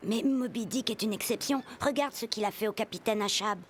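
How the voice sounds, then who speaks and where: A woman's voice: on the high side, fairly quick, a little hiss or hum, lightly acted. A woman playing an anxious, earnest young sailor boy in a French children's cartoon. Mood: worried. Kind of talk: children's cartoon dub acting.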